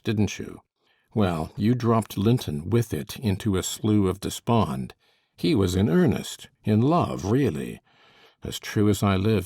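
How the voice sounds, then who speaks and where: A man playing a gravelly, scheming villain. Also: fairly low pitch, darkish tone, no room sound, studio quality, exaggerated voice. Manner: menacing, mocking, then falsely coaxing. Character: brooding, cruel middle-aged man, a scheming villain. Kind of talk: audiobook character reading.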